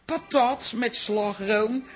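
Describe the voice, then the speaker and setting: A woman's voice: fairly high pitch, slow pace. A woman acting in a Dutch radio comedy drama as a chatty, gossipy mother with a blunt, teasing humour. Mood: chatty, knowing.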